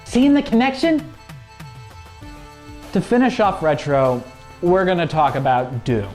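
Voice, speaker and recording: Male review co-host, high voice with big pitch swings, emphatic casual narration, light music bed. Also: expressive, a little slow, darkish tone. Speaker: co-host of a gaming review show. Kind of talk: casual scripted review commentary. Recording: music playing under the voice.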